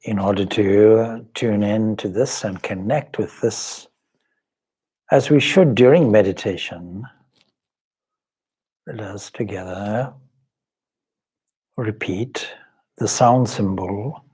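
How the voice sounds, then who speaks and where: Slow, husky man leading meditation. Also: lowish voice, mellow. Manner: slow, measured, solemn, with long pauses. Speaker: swami leading guided meditation. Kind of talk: guided meditation, spoken instruction.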